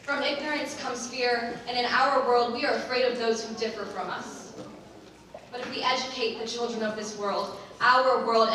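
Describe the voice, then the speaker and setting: A young woman performing slam poetry live in an impassioned, deliberate, emphatic way with dramatic pauses, recorded from a distance in an echoing hall. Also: fairly high pitch, bright, forceful tone. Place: large echoing room, live stage performance. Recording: echoey hall, distant mic, faint noise.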